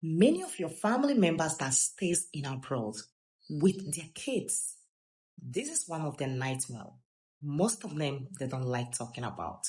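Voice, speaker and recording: Low-voiced woman commentator from Gambia. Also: sing-song, unhurried, darkish tone, poor audio. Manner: preachy, chuckling asides. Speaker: commentator on a viral clip. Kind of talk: casual commentary.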